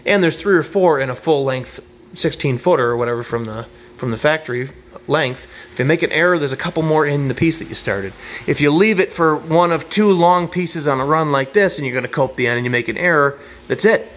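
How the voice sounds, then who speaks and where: Folksy middle-aged carpenter explaining trim work. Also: male voice, fairly high pitch, fairly quick, a bit bright. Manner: rambling, folksy, self-correcting explanations. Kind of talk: casual hands-on instruction. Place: empty apartment room under renovation, indoors. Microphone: head-mounted action camera.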